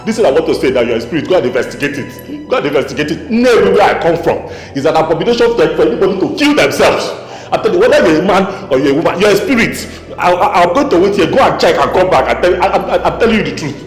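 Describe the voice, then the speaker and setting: A man's voice: high voice, animated, rapid-fire, few pauses. An actor playing a haunted, self-justifying man in a film drama, stammering and repeating himself in agitation, with a Nigerian accent. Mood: pleading, insistent. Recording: echoey, reverberant hall sound with music.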